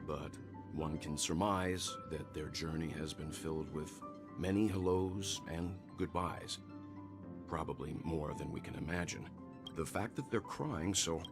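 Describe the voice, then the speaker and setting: An actor playing a calm, wise, middle-aged mentor who explains things in a measured, composed way, over game music. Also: male voice, very deep voice.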